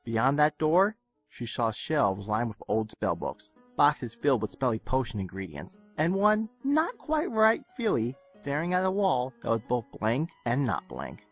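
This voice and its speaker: Lively male story narrator over music. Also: mid-range voice, unhurried. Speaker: narrator of a fan fiction audio reading. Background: soft game music.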